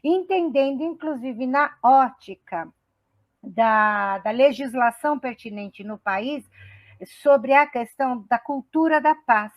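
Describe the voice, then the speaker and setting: A woman's voice: high voice, animated, slow pace, dry recording. A middle-aged woman from São Paulo state, hosting a web radio talk show in a chatty, enthusiastic way, often restarting her sentences. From São Paulo state, Brazil. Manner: chatty, enthusiastic, laughs easily, many restarts. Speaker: host.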